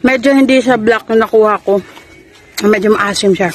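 A woman's voice: medium pitch, normal speed, a little hiss or hum. A woman in her thirties vlogging casually on a farm, chatty and laughing easily as she picks and tastes fruit. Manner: chatty, laughs easily, excited when pointing things out. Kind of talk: casual chat while picking fruit. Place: outdoor farm orchard. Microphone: handheld phone camera.

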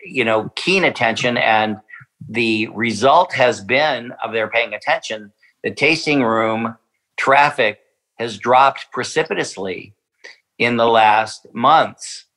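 A man's voice: mid-range voice, slow, sharp tone, poor audio. A man from a winery speaking in a podcast interview, hesitant, with many fillers and restarts. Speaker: winery representative.

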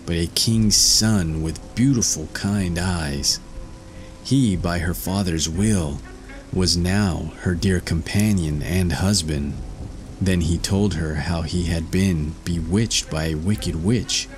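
A man narrating a scripted fairy tale as a storyteller, in a measured, formal manner. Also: deep voice.

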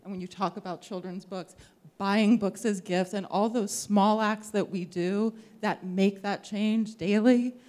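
A woman's voice: medium pitch, steady tone, measured pace, a little mellow. A middle-aged woman, a teacher, making an informal comment from the audience. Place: large theatre hall.

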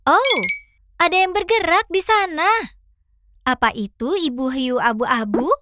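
A woman's voice: very high-pitched, unhurried. A woman voicing a perky, caring young fox who hosts a children's cartoon and talks to the viewers. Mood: cheerful, curious. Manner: animated, clear, addressing children.

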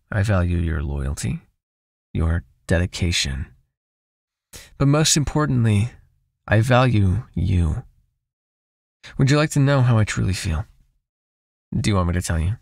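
A man playing a seductive, possessive vampire hypnotist in an intimate ASMR roleplay, soothing and teasing as he gently commands. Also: lowish voice, gravelly, husky, dry recording, clean studio sound, exaggerated voice. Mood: tender, teasing.

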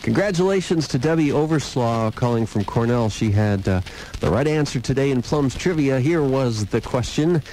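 A man's voice: medium pitch, expressive, moderate pace, a little mellow. A man working as a radio DJ, talking casually on air. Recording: noisy old radio aircheck tape.

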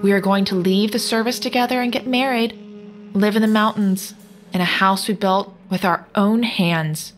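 A woman's voice: medium pitch, steady tone, normal speed, natural voice. A woman playing a cold, accusing apparition of a dead soldier in an audio drama, speaking with relentless deliberation. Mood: bitter, deliberate. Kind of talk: scripted audio drama acting. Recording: music under the voice.

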